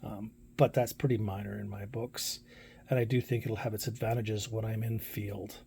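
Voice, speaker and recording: A man's voice: fairly low pitch, level tone, moderate pace, creaky, warm tone, slight background noise. A man giving a casual, unscripted product review in a plainspoken, hesitant way, thinking aloud as he goes.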